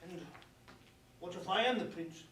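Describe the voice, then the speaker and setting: A man's voice: high-pitched, a little slow, fairly bright tone. A man in a staged play reading, acting a proud bookish prince who poses as a timid old librarian, stammering theatrically. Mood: defiant. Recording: distant echoey room, background noise.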